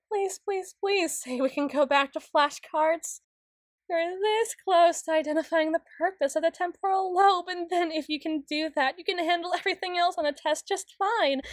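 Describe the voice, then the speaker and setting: A woman voicing a flustered, self-doubting, bookish young tsundere in a halting ASMR roleplay monologue. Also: high voice, no room sound, clean studio sound, natural voice. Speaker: audio roleplay performer.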